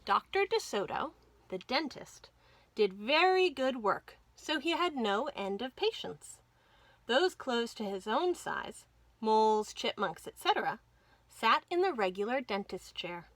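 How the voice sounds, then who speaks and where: Casting: female children's storytime reader, unhurried, high-pitched, lively intonation, emphatic phrasing. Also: no room sound. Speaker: children's librarian, storytime host. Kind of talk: children's picture-book read-aloud.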